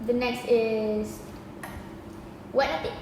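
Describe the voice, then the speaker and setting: A woman's voice: on the high side, fairly even intonation, slow. A young adult woman with a Malaysian accent, presenting informally in a group discussion as a student. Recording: echoey hall, distant mic, faint noise.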